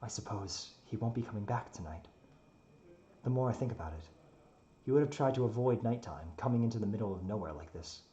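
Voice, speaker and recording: A man's voice: lowish voice, animated, fairly quick, warm tone, dull audio, lightly acted. Man acting out proud non-human being, haughty, brooding, grudgingly caring, storybook monologue, varied intonation. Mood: impatient, worried. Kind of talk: dramatic reading of a story.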